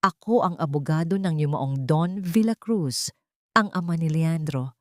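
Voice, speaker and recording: A woman voicing a formal, solemn and determined male lawyer in an audio drama, speaking in a measured way. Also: low voice, a little mellow, no room sound, studio quality. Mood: solemn, resolute.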